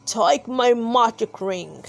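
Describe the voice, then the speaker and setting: A sly, evil storybook magician played by a woman, bossy and short-tempered. His voice sits high for a villain and swings dramatically as he bellows out slow, commanding orders. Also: expressive, creaky, heavily acted. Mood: commanding, angry.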